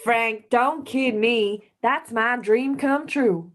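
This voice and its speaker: High-voiced indignant wife played by a woman. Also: slow. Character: indignant wife dreaming of selling up. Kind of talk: amateur radio play acting.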